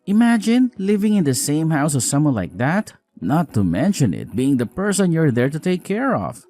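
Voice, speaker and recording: Very animated, earnest husband acted by a man. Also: a little high, moderate pace. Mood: exasperated. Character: apologetic, weary newlywed husband, caring and frank. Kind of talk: scripted dialogue, audio story drama.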